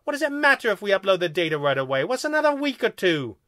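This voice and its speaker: A man's voice: high voice, very animated, quick pace, fairly bright tone, dry recording, studio quality, pitched above the performer's own voice. A man voicing a sulky, petulant male alien underling who protests and pouts in a story reading. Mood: indignant. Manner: protesting, pouting, emphatic.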